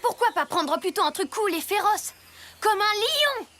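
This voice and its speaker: An actor voicing a boastful, impatient, energetic young boy superhero in a French cartoon dub. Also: very high voice, moderate pace, heavy background noise. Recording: music behind the voice.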